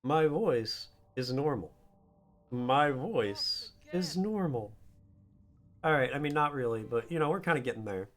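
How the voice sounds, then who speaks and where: A hoarse man streaming a video game, joking and rambling casually. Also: medium pitch, expressive, unhurried, fairly bright tone, a little hiss or hum. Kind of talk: casual gaming stream chat.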